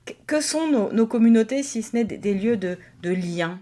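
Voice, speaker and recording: A woman's voice: on the high side, animated, normal speed, dark tone. A woman from Alsace in France co-hosting a podcast as a pastor, talking conversationally and reflectively, rephrasing as she goes. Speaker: podcast co-host and Protestant pastor.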